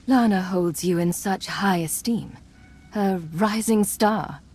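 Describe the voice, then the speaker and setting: An actress playing a haughty, sharp-tongued female warrior in a video game, dry and disdainful. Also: mid-range voice, slow pace, faint music behind. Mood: contemptuous.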